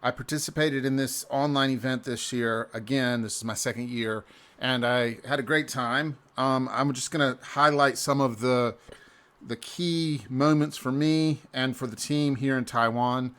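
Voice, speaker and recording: A man's voice: medium pitch, fairly even intonation, a bit bright. Easygoing man rambling through a recap. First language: English. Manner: easygoing, rambling, often pauses and restarts mid-sentence. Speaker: amateur cyclist reviewing an event. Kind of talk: casual screen-share walkthrough.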